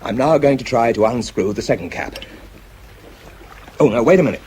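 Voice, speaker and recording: A man acting in a radio drama as an exhausted, shaky, methodical bomb disposal expert, speaking haltingly under strain. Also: medium pitch, expressive, darkish tone, slight background noise, close to an everyday voice. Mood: tense, concentrating. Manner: halting, hesitant, muttering as he works. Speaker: actor and narrator in a radio drama. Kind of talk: radio drama acting, first-person monologue.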